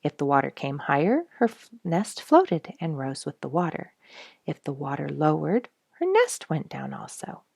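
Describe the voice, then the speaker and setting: Low-voiced woman reading a children's story. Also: wildly swooping pitch, normal speed, vocal fry, a little mellow, husky, dry recording, clean studio sound. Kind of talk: expressive read-aloud children's storytelling.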